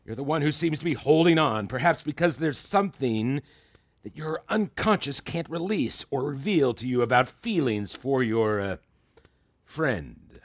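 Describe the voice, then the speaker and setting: An actor playing a controlling, self-assured therapist in a fiction podcast, with a patronizing, deliberate, needling manner. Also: male voice, mid-range voice, expressive, no echo. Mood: sharp, needling.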